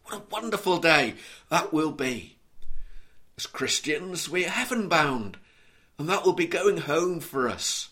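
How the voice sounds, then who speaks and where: A man from Liverpool with a mild Scouse accent, preaching an earnest, measured sermon and reading scripture aloud. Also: on the high side, animated, pushed and bright. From Liverpool, England.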